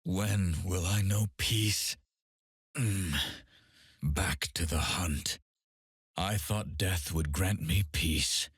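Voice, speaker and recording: An actor playing a brooding masked undead swordsman and demon hunter in a video game, solemn and deliberate, speaking through gritted teeth. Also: deep voice, husky, dry recording, clean studio sound, exaggerated voice. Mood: grim, weary. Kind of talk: video game character voice lines.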